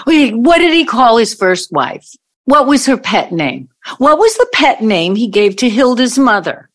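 A woman's voice: a little high, sing-song, measured pace. A woman in an audio play acting an older ex-girlfriend who is hesitant at first, then bitterly accusing.

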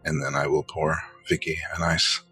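A man's voice: very deep voice, flat delivery, moderate pace, bright, forceful tone, faint music behind. A man playing a tabletop roleplaying game, speaking casually in character.

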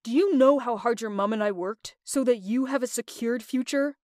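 A woman's voice: on the high side, normal speed, a little mellow, no room sound, studio quality, close to an everyday voice. A woman voicing a stern, disapproving middle-aged father who scolds and gives orders. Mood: scornful. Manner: cold, scolding, commanding.

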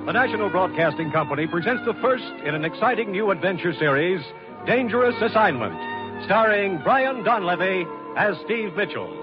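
Casting: dramatic man for 1940s broadcast announcing, muffled recording over loud music. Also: sits high, lively intonation, moderate pace. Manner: dramatic, declamatory.

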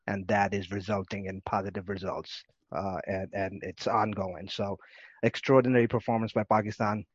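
A man with a lowish voice gives casual sports analysis as a podcast co-host. He speaks in long, measured explanations. The recording is close and dry, with a slightly muffled lo-fi sound. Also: no room sound. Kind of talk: casual cricket commentary and analysis. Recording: dull lo-fi dry audio.

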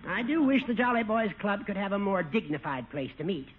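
An actor playing a genteel, fussy older American judge with precise manners in a 1950s radio comedy. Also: male voice, high voice, very animated, brisk. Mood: genteel, curious. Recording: tinny, hissy 1950 radio recording.

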